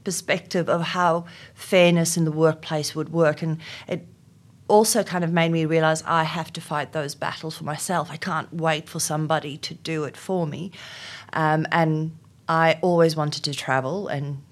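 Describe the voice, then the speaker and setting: A woman's voice: low voice, fairly even intonation, normal speed. A middle-aged South African woman, Afrikaans her first language, giving thoughtful interview answers with frequent ums and restarts. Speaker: interview guest, HR executive.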